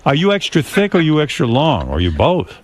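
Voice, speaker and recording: Male radio host, animated pitch, blunt and teasing interviewer. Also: a little fast, no echo. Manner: blunt, teasing, provocative questions.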